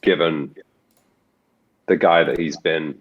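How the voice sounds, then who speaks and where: A man's voice: very deep voice, fairly even intonation, slow pace. A man being interviewed as a voice actor, talking thoughtfully with frequent restarts and fillers. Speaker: voice actor guest. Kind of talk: reflective interview talk. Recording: muffled lo-fi audio.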